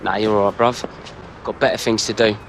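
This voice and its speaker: Man acting as arrogant young British footballer, lowish voice, video game rival, heavy street and vehicle noise. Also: level tone, fairly quick, close to an everyday voice. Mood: dismissive. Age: young adult. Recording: game cutscene with loud outdoor traffic noise.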